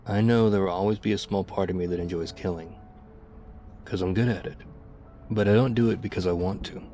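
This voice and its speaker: A man playing a battle-hardened, weary zombie apocalypse survivor in an intimate ASMR roleplay, guarded but quietly caring, speaking in a hushed, measured way. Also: low voice, steady tone, soft background music, natural voice. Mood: weary, confiding. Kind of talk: ASMR audio roleplay, intimate one-sided dialogue.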